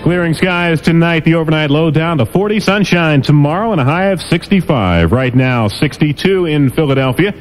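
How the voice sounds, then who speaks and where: A man as a radio newscaster, reading a news and sports update in a crisp, measured way. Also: on the high side, slight background noise, poor audio. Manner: crisp, measured newsreader delivery.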